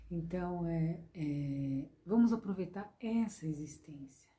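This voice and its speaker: A Brazilian-accented woman gives a gentle devotional lesson in a low, mellow voice that is a little hoarse. She repeats her points and keeps turning to her listeners with tender words of address. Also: expressive, a little slow. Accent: Brazilian Portuguese. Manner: gentle, repetitive, calls listeners "gente" and "meus amores". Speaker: spiritist course teacher. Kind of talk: devotional lesson to an online class.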